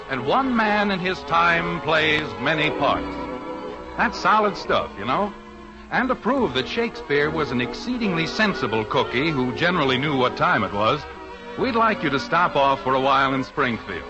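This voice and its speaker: Male American announcer, highish expressive voice, folksy showmanship over music, vintage radio. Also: normal speed, dull audio. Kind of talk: 1950s radio announcing, scripted narration.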